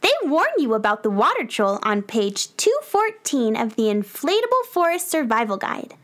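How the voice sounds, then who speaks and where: A woman's voice: fairly high pitch, very animated, moderate pace, a bit bright. A woman playing a plucky, polite, bookish little girl in a children's audio drama. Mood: cheerful, matter-of-fact.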